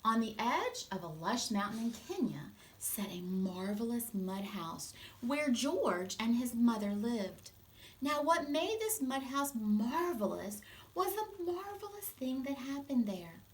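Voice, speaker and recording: Animated woman teacher reading to children. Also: a little high, measured pace, a little hiss or hum, poor audio. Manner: expressive, instructive, simple explanations for children. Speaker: teacher reading a storybook aloud. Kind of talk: children's storytime reading with explanations.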